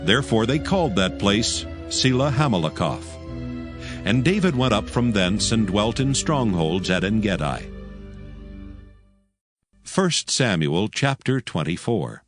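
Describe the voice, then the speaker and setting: Expressive, lowish-voiced male scripture narrator. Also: unhurried, music in the background. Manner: formal, storytelling.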